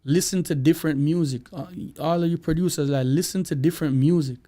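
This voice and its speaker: A Jamaican man talking with a somewhat high but mellow, soft-edged voice. He is an interview guest who speaks casually and with animation. He drifts into patois as he explains things. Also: fairly quick. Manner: animated, slips into patois, acts out quoted voices. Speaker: guest songwriter and producer.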